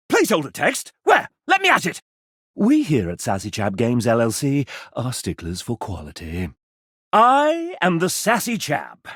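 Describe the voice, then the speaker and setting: A vain, flamboyant gentleman mascot from a video game, sassy and grandiose. The voice leaps wildly up and down, takes its time over flowery phrases, and has a husky edge, recorded very dry and close. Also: medium pitch, no echo, heavily acted. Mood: grandly boastful. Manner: pompous, flamboyant, old-fashioned gentlemanly phrasing. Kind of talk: video game character dialogue.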